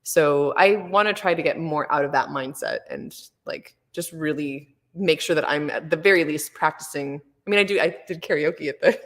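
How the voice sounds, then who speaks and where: A woman chatting casually with a low voice, rambling with lots of fillers and laughing often. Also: moderate pace, hardly any pauses, a bit bright, big-room sound. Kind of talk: casual chat.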